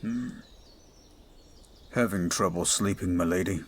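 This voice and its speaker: Man voicing a stoic goliath bodyguard, deep and slow. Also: slight background noise. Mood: dry, gentle. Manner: formal, measured, commanding. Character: towering male goliath bodyguard, stoic, dutiful, protective. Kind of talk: audio roleplay.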